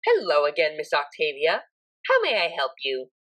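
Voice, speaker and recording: A woman's voice: low-pitched, wildly swooping pitch, moderate pace, fairly bright tone, exaggerated voice. A woman voicing a cheerful, formally helpful pony receptionist in an audiobook story.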